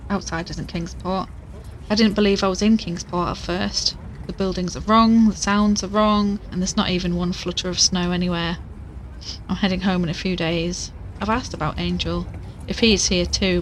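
Low-voiced, shaken female investigator played by an actress. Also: a little hiss or hum, natural voice. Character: shaken, homesick female investigator recounting her ordeal. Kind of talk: audio drama monologue, recorded testimony.

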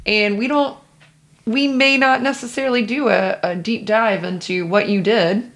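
A woman's voice: fairly high pitch, fairly even intonation, a little slow, fairly bright tone. A woman co-hosting a podcast, chatting casually and colloquially as she reacts and paraphrases in everyday words. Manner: colloquial, interjecting, paraphrases with imagined quotes. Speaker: podcast co-host reacting to the story. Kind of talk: casual chat.

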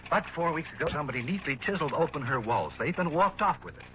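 A man playing an excitable, griping American insurance man who talks in emphatic slang in a 1960s radio drama. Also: on the high side, fast. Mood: agitated, emphatic. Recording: dull, noisy old radio recording.

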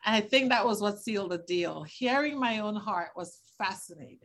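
A woman's voice: mid-range voice, expressive, moderate pace, a bit bright. A woman answers interview questions as a doctor. Her mid-range voice is eager and explanatory, and she laughs easily. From Tobago, Trinidad and Tobago. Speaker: doctor, virologist and fertility specialist guest.

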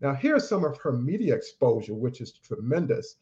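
A man's voice: mid-range voice, sing-song, normal speed, warm tone. Upbeat man hosting a podcast. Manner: upbeat, formal, full of praise. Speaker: podcast host. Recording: dull, lo-fi sound.

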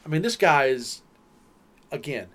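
A man's voice: medium pitch, animated, measured pace, fairly bright tone. Rambling Southern-accented man chatting. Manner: rambling, explains at length with restarts. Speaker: podcast co-host. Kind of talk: casual chat.

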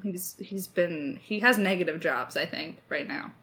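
A woman's voice: on the low side, a little slow, fairly bright tone. A woman co-hosting a podcast Q&A, talking in a chatty, informal, joking way. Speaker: podcast co-host and audio drama creator. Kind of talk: casual Q&A chat.

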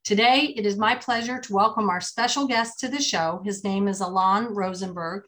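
A woman hosts an interview podcast in a middle-pitched voice. She opens with a read sponsor intro and then moves into easy conversation with her guest. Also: normal speed, fairly bright tone. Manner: upbeat, scripted intro then chatty with fillers. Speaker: host.

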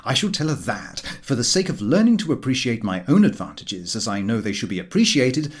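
A man voicing a married Edwardian gentleman in an audiobook, restless and scheming, with a wordy, declamatory manner. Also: medium pitch, sing-song, fairly quick, close to an everyday voice. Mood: declamatory, resolute.

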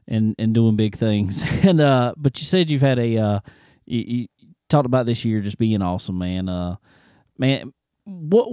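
A man's voice: fairly low pitch, warm tone, smooth, no room sound, clean studio sound. A man hosting a podcast, who asks his guest questions in a friendly, chatty way with lots of fillers. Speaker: podcast host. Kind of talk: casual interview chat.

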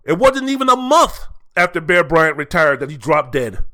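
A male sports podcaster with a notably high, sharp-edged voice. He delivers opinionated solo commentary, leaning on rhetorical questions and repetition. His delivery is emphatic and theatrical. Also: sing-song, moderate pace.

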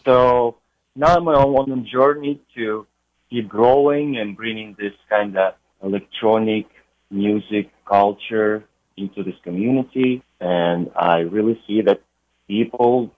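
A young man with a Ukrainian accent, talking about his music in a relaxed radio interview, pausing often with "uh". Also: fairly low pitch, dry recording. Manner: unhurried, with frequent uh pauses. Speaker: DJ and radio guest. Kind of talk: interview conversation. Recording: tinny phone-like line.

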